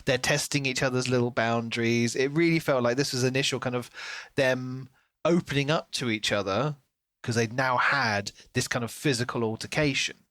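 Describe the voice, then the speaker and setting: Man, average pitch, casual book talk, chatty, thinking aloud, very little room sound. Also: moderate pace, a bit bright, dry recording. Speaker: podcast co-host.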